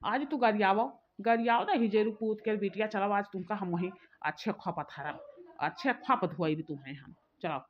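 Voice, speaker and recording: A woman's voice: medium pitch, normal speed, a little hiss or hum, natural voice. A woman playing a defiant, hot-tempered village sister-in-law in a cartoon comedy, grumbling in repeated phrases with an Awadhi accent. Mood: threatening. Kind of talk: rustic cartoon comedy dialogue. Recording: dull lo-fi sound.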